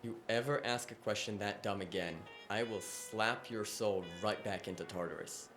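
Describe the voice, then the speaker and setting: A man's voice: on the low side, normal speed, music in the background, natural voice. A man playing a flippant, sarcastic, cocky hacker in a cyberpunk audio drama. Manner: wisecracking, casual, sarcastic.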